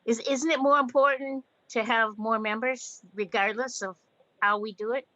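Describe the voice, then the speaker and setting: A woman argues her point informally on a video call. Her voice is rather high and sharply bright, while the audio is dry and a little dull. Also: fairly even intonation, moderate pace, dry recording. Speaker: club member in a meeting. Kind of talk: informal meeting discussion. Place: online video meeting.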